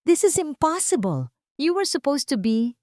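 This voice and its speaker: A woman's voice: sits high, big pitch swings, moderate pace, darkish tone, no echo, studio quality, pitched above the performer's own voice. A woman voicing an arrogant, outraged older male tycoon villain in an audio drama. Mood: shocked, disbelieving.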